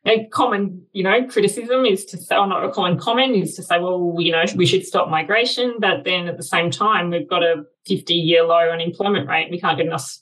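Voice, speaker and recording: A woman's voice: lowish voice, brisk, few pauses, a bit bright, rough recording. A woman with an Australian accent giving conversational expert commentary as an interview guest, explaining things in an informal way.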